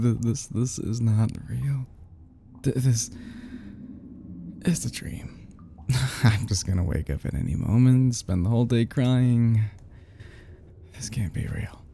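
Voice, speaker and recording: A man playing an obsessive, lovesick kidnapper in an intimate audio roleplay, desperate and unstable, speaking haltingly with stammers and drops toward a whisper. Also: medium pitch, dark tone, slight background noise. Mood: overwhelmed, disbelieving. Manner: halting, stammering, breathy nervous chuckles, often dropping to whispers.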